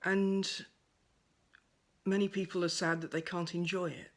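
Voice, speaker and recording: A woman giving a gentle, thoughtful monologue at home by a window, her voice soft and at times close to a whisper. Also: on the low side, level tone, unhurried. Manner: soft-spoken, thoughtful, drifts into near-whispers. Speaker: pagan speaker sharing reflections. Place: at home by a window over a garden.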